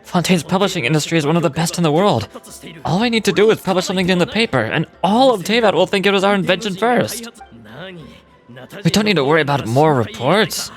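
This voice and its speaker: An actor playing a scheming, pompous merchant villain in a video game, theatrical and self-serving, talking with indignant persuasion. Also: male voice, sits high, animated, fast, faint music behind. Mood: pleading, eager.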